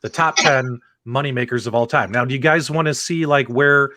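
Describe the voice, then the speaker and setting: A man's voice: medium pitch, normal speed, sharp tone, no echo. A man hosting a live-streamed panel, chatting casually, joking and quizzing the others.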